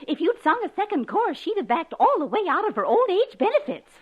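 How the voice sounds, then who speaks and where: A feisty old lady of a radio comedy, chatty and excitable. Her high voice swings widely up and down. The old broadcast recording sounds dull. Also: fast talker. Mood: gossipy. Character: feisty elderly fan club lady, over fifty. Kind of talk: 1940s radio comedy acting.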